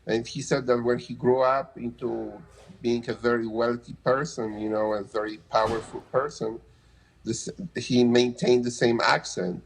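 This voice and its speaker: A man's voice: medium pitch, level tone, normal speed, gravelly, a little hiss or hum, low-quality recording. A middle-aged man with a Polish accent answering interview questions casually, often restarting phrases and adding "you know". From Poland. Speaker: interviewee, anesthesia provider.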